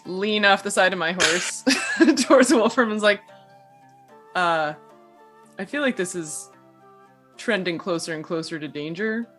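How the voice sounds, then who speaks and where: A woman's voice: fairly low pitch, measured pace, long pauses, a bit bright, soft background music. A woman playing a tabletop role-playing game, chatting casually and narrating what the character does.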